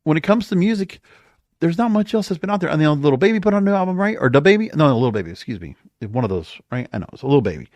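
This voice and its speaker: A man's voice: a little high, big pitch swings, quick pace, a little mellow, dry recording, dull audio. Man rambling casually in a dry studio. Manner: conversational, rambling, thinking aloud. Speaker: podcast host. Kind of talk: casual solo monologue. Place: home or small studio.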